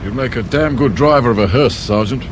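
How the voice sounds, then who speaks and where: An actor playing a brusque, world-weary detective inspector with a British accent in a radio drama. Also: male voice, mid-range voice, fairly quick, natural voice. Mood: grumbling, cynical. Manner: sardonic, brusque, grumbling. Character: gruff, cynical, world-weary male police detective inspector. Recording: faint noise, old radio drama.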